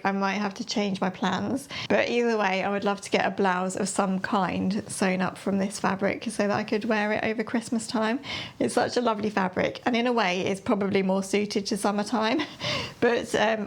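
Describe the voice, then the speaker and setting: A woman talks through her craft projects in a relaxed, rambling catch-up. Her voice is a little croaky, and she often drops in small laughs between thoughts. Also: mid-range voice. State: slightly croaky voice. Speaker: sewing and knitting vlogger. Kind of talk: chatty catch-up.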